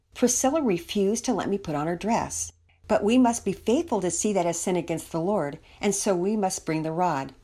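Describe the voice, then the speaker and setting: A woman's voice: mid-range voice, mellow, close to an everyday voice. A woman narrator plays a devout storybook mother, kindly and patient. She speaks earnestly, as if teaching, with widely varied intonation. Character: kindly, devout, instructive mother hosting guests.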